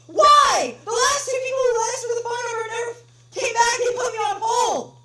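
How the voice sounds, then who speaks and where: A woman voicing a furious male customer on a support call, ranting in a shrill shout. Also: moderate pace, far from the mic. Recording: echoey room with noisy hum.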